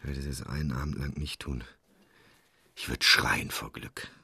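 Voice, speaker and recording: A man's voice: extremely low pitch, a little slow, vocal fry, pushed and bright, husky, no room sound, exaggerated voice. A man acting a weary, bitter husband narrating his inner thoughts in a radio drama, literary and detached. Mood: weary disgust. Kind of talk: first-person inner monologue in radio drama.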